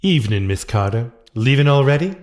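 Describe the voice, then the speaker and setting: A man's voice: mid-range voice, sing-song, fairly quick, lightly acted. A man playing a courteous, unassuming stage-door man in an audio drama, homely and protective. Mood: polite. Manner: homely, polite, plain-spoken.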